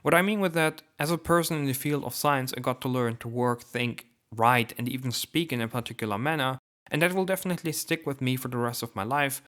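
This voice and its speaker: A young man raised in Germany, with Turkish as his first language, delivers a scripted essay to camera. His reading is measured and deliberate, laying out one argument after another. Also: mid-range voice, a bit bright. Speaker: YouTuber.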